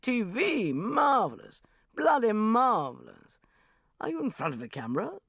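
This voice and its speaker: A woman's voice: on the low side, wildly swooping pitch, unhurried, creaky, breathy edge, no echo, clean studio sound, heavily acted. A woman voicing an enormous, leering, patronizing male merchant banker, bellowing and blustering in an audiobook. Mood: pompous. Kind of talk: audiobook character voice.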